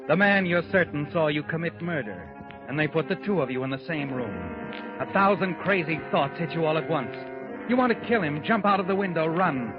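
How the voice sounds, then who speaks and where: A man performs a sinister narrator in a 1940s radio thriller, taunting in the second person. His voice is a bit high, with music behind it and a muffled old sound. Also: natural voice. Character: sinister, taunting narrator who knows the guilty conscience.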